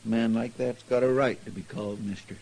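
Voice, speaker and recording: Man playing a humble, even-toned American frontier sidekick. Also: medium pitch, fairly quick, lightly acted. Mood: respectful, awed. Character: humble, respectful sidekick of the marshal. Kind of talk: 1950s Western radio drama acting.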